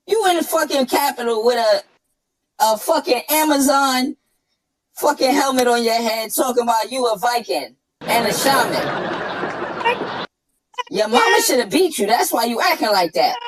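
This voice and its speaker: A man with a New York accent ranting and trash-talking through a pitch-shifted chipmunk voice effect, cocky and profane. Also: animated, moderate pace, long pauses, a crowd in the background, noisy background. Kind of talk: brash trash-talk rant.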